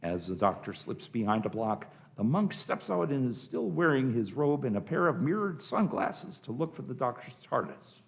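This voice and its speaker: A man's voice: mid-range voice, very animated, normal speed, gravelly, hardly any pauses. A man narrating a scripted radio drama, reading out the scenes and action.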